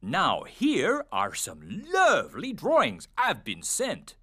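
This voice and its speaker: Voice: mid-range voice, huge pitch swings, slow pace, fairly bright tone, breathy edge, full character voice. An actor voicing a jolly potato who presents a fitness show in a children's cartoon.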